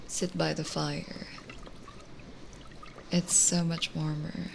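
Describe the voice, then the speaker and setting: Kindly cottage witch, played by a woman for ASMR roleplay: unhurried, lowish creaky voice, gentle coaxing, hushed moments. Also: flat delivery, background noise, heavily acted. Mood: reassuring. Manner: gentle, coaxing, hesitant pauses, drifting into whispers. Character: lonely, kindly forest witch, soothing and hospitable. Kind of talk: ASMR roleplay audio drama.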